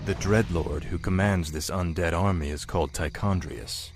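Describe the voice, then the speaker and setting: An actor playing a cryptic human agent who serves a dark master, speaking in a smooth, insinuating way. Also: male voice, deep voice, normal speed, background noise. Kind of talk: video game cinematic acting.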